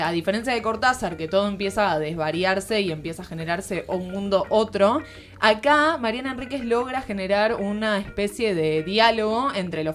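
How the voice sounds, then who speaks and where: A woman with a Buenos Aires area Argentine accent giving a conversational book commentary at a studio microphone, reflective and informal, searching for words as she explains. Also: medium pitch. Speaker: literary columnist on a radio show. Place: radio studio.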